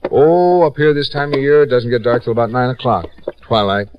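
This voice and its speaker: An actor playing a helpful small-town American police captain in a 1950s radio drama, explaining things in a deliberate, folksy way. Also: male voice, medium pitch, lively intonation, muffled recording, a little hiss or hum, close to an everyday voice. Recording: vintage radio recording.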